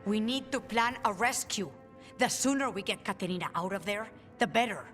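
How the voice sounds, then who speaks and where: An actress playing a practical, direct assassin ally in a video game, urging in manner. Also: female voice, mid-range voice, normal speed, a bit bright, long reverb tail, soft background music, natural voice. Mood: urgent.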